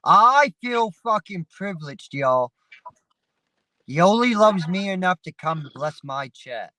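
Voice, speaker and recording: A man's voice: high-pitched, animated, takes it slowly, pushed and bright, dry recording, rough recording. Guy hosting a stream, rambly and swears a lot, dry close sound. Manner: rambling, profane, laid-back. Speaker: livestream host. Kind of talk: casual chat. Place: sitting in his parked vehicle. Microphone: phone.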